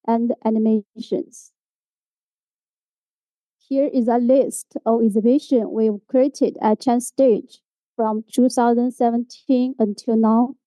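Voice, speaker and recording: High, mellow Chinese-accented woman presenting. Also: dry recording, low-quality recording. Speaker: graphic designer giving a presentation. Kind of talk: prepared conference presentation.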